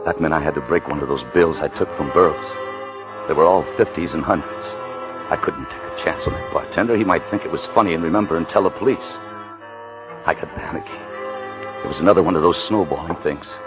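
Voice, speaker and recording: A desperate, down-on-his-luck American husband in a vintage radio thriller, with a very low voice. He is nervous and stammers as he confesses, with music behind him on the old recording. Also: brisk. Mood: grim, tense. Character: panicky, down-on-his-luck husband on the run, narrating his crime. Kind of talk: mid-century American radio thriller acting.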